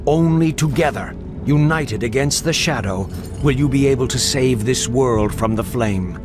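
A mysterious prophet of doom from a fantasy game, played by a male actor. He speaks at a middle pitch with stern, theatrical gravity while music plays underneath. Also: measured pace, lightly acted. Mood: foreboding. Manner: grave, portentous, theatrical. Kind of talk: video game voice acting.

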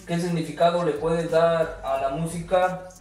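A man's voice: on the high side, steady tone, unhurried, a little mellow, recorded from a distance, faint music behind. A young man hosting a casual interview, friendly and hesitant with many fillers. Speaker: interview host. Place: small home recording studio. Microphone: far camera mic. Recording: echoey room, voice from afar.

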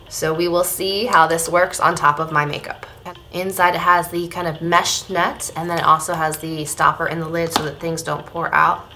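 A woman in her thirties, slightly hoarse, giving a casual beauty product review to camera at home. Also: fairly low pitch, sharp tone, slight background noise. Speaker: beauty vlogger. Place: small room at home, indoors.

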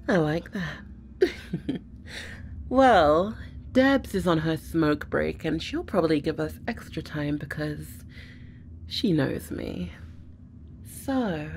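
Woman playing a flirty teasing gf, low-ish voice, slow and drawn out, bit of hiss behind. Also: a little mellow, exaggerated voice. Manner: teasing, flirtatious, sighing, swearing. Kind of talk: audio roleplay.

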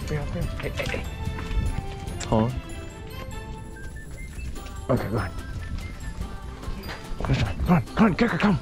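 A man in his thirties hosting a casual vlog, playful and given to sudden high excited shouts, filmed on a handheld action camera. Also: measured pace, pauses often. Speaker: vlog host. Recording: music playing behind.

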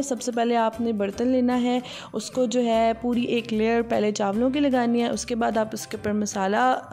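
A young woman walks through a recipe in an unhurried voice-over. She explains each step patiently, with small fillers, while soft music plays quietly underneath. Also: fairly high pitch. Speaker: cooking channel host. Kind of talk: voice-over cooking instructions. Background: soft background music.

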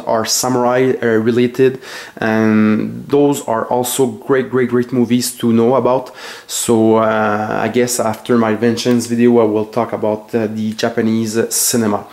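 A man with a French Canadian accent, his first language French, showing his collection in his home basement and talking haltingly with many fillers and self-corrections. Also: mid-range voice, little pitch movement, a little mellow. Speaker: collector vlogger. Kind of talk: casual collection tour. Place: home basement full of shelves.

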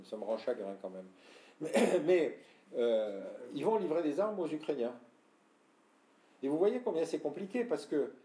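An older man from France giving a talk to an audience, explaining and addressing listeners directly, often clearing his throat. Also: mid-range voice, mellow. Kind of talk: lecture with audience questions. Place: room with audience. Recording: echoey room.

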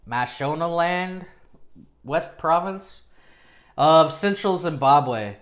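A man in his thirties talks to camera as an informal presenter. His voice sits a little high for a man. He comes across as chatty and self-deprecating, speaking from a small indoor home studio. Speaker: presenter of dive videos. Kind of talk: casual video presenting to camera.

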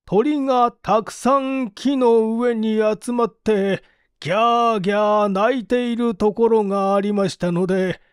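A man voicing a humble, deferential village manservant in his forties, testifying haltingly in a mystery audiobook. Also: high-pitched, darkish tone, clear, resonant tone, no echo, studio quality. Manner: slow, halting, measured testimony. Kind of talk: audiobook reading of a vintage mystery.

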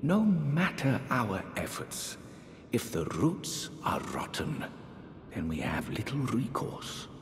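An older man playing a genteel, eerie aristocratic sorcerer priest in a fantasy game, speaking in theatrical archaic phrases with a breathy voice that drops toward whispers. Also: huge pitch swings, takes it slowly. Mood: sorrowful, bitter. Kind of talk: dramatic fantasy game character dialogue. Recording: echoey hall reverb, faint rumbling noise.

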